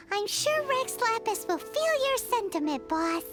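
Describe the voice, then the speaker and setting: A woman voicing a tiny, cute and bossy fairy companion with a squeaky childlike voice in a video game. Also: very animated, takes it slowly, music in the background, exaggerated voice. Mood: sympathetic. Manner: refers to self in third person, outspoken.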